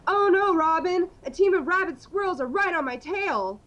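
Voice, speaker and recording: A frantic male superhero in a comedy improv bit, squealing in alarm, as a woman puts him on. His voice sits very high. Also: expressive, moderate pace, a bit bright, dull audio.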